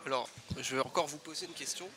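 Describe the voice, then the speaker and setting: A man's voice: a little high, quick pace, background noise. A man running a radio workshop, patiently asking a group of students questions in turn. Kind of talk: hosting a group introduction. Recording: busy crowd chatter behind.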